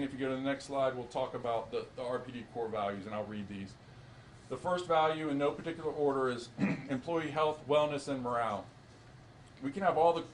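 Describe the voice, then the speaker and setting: A man at mid pitch walks a room through slides at a press briefing, measured and earnest. He often restarts his sentences and fills pauses with "uh". Faint noise sits behind him. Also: rough recording. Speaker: acting police chief.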